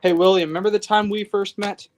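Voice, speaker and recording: A man's voice: sits high, fairly even intonation, quick pace. An eighteen-year-old man chatting casually on a stream, eager and often restarting his phrases. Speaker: guest. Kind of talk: casual chat.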